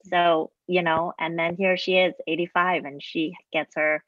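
A woman's voice: on the low side, flat delivery, measured pace, a bit bright, dry recording. Laughing woman, dry muffled sound. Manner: laughs while talking. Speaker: actress on a panel. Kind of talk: casual anecdote. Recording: dull, dry audio.

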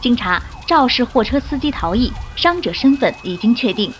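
An actress playing a formal midnight news anchor, reading a bulletin in a radio drama. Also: female voice, a little high, animated, moderate pace, dull audio, a little hiss or hum, close to an everyday voice.

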